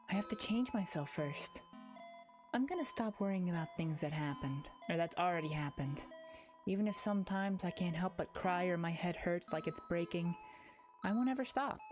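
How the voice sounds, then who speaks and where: A woman's voice: mid-range voice, close to an everyday voice. A woman voicing a timid, earnest and unsettlingly devoted teenage monster girl in a visual novel. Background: game music.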